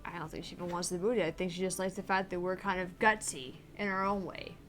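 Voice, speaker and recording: A young woman co-hosting a casual let's-play stream, animated, laughing often and swearing casually. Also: lowish voice, fast, faint music behind. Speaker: game streamer co-host.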